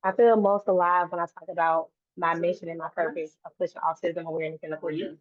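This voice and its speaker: A woman's voice: fairly low pitch, flat delivery, fast, no echo. A woman guest talking earnestly in a casual interview, restarting and repeating phrases as she goes. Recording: dry, tinny phone-like line.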